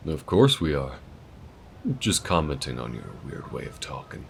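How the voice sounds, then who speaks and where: A man's voice: rumbling low, expressive, normal speed, gravelly, a little hiss or hum, full character voice. A man voicing a friendly, easygoing male alien who shows the listener around town in an audio roleplay, talking in a folksy, laid-back drawl.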